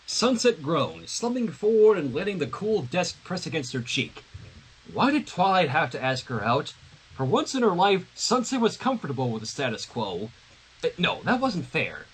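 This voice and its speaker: A man's voice: a little high, very animated, moderate pace, slightly rough, low-quality recording. A man reading fan fiction aloud on a livestream, narrating expressively with casual asides.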